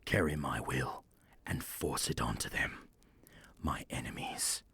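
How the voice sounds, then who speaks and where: A man playing a sinister, gloating necromancer cleric in a fantasy audio drama, husky and breathy, with a menacing, theatrical delivery. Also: a bass voice, a little slow, a bit bright, no echo, whispered, full character voice. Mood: menacing incantation.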